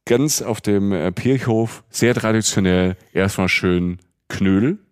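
A man's voice: lowish voice, takes it slowly, clear, resonant tone, no echo, clean studio sound. A man from the Palatinate co-hosting a podcast, telling a rambling, chatty travel story with many fillers. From Palatinate, Germany. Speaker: podcast co-host. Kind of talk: casual travel chat.

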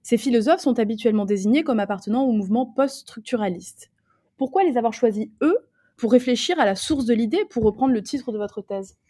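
A woman's voice: fairly high pitch, lively intonation, brisk, mellow. A woman hosting an academic interview, putting prepared questions in a polite, formal and articulate way. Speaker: podcast interviewer.

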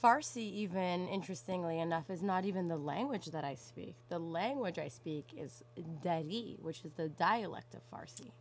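A young woman from Canada in casual conversation, telling stories in an animated way and often saying "like". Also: lowish voice, moderate pace, hardly any pauses. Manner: animated storytelling, frequent "like".

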